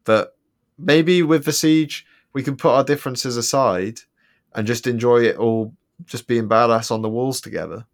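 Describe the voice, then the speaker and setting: A man's voice: mid-range voice, normal speed. A man co-hosting a podcast in casual chat, rambling with many ums and restarts and slipping in jokes. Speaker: podcast co-host.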